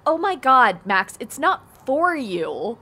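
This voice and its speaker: Audio drama: unsentimental teenage girl, blunt, dry, matter-of-fact delivery, pitched a little high. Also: very animated, slow pace, sharp tone, full character voice. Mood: embarrassed annoyance. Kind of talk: naturalistic audio drama acting.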